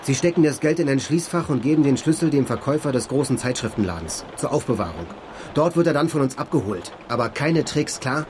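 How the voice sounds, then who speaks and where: An actor playing a brash, scheming call-centre worker in a radio crime drama, speaking in a blunt, colloquial, pushy way. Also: male voice, medium pitch, animated, brisk, a little mellow, slight background noise, close to an everyday voice. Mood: demanding. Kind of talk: naturalistic radio drama acting.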